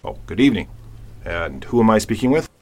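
An actor playing a gruff, frustrated police commissioner in an old-time radio drama, with an emphatic, commanding manner. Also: male voice, fairly low pitch, very animated, brisk, darkish tone. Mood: preoccupied. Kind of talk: 1940s radio drama acting.

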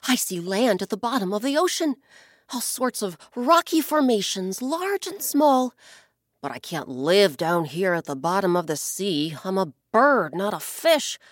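A woman's voice: mid-range voice, big pitch swings, a little slow, no echo, clean studio sound. A woman voicing a scheming, mischievous male blackbird in a children's story, talking in a sly, conspiratorial way. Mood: excited.

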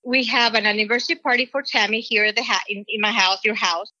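A woman's voice: fairly high pitch, steady tone, bright, forceful tone, no room sound. Earnest woman with a Mexican accent. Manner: rambling, earnest, halting restarts. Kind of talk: personal testimony. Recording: dull lo-fi recording.

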